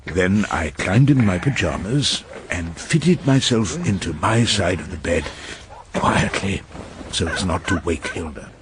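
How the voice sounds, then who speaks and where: Wry old English barrister, low-voiced. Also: male voice, expressive, background noise. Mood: wry narration. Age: older. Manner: wry, unhurried, ironic. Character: irascible, wry old male barrister narrating his cases. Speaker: barrister and narrator. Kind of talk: radio drama dialogue and first-person narration.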